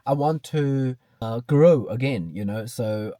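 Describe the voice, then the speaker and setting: A man's voice: medium pitch, takes it slowly, warm tone, no echo, clean studio sound. Hesitant man in his thirties, from China. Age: 30s. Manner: hesitant, many fillers, reflective. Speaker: vlogger. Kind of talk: casual self-talk ramble. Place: car.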